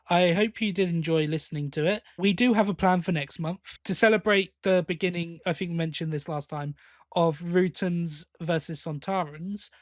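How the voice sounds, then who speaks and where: A man with a slightly high voice talks as a podcast co-host in a close, dry recording. His delivery is casual review chat, with halting phrases that he restarts and corrects. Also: dry recording.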